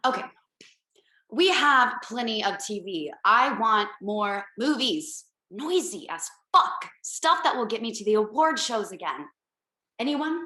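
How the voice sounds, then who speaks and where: A woman's voice: a little high, lively intonation, a little slow, pushed and bright. A woman playing a brash, self-absorbed company boss in a comedic table read, breezy, bossy and profane. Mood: demanding. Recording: roomy home video-call audio.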